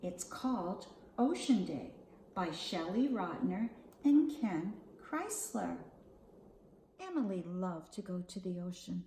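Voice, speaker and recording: A woman hosting a children's storytime, reading aloud and leading counting rhymes in a slow, expressive, sing-song way. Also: lowish voice. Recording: echoey room with faint background hiss.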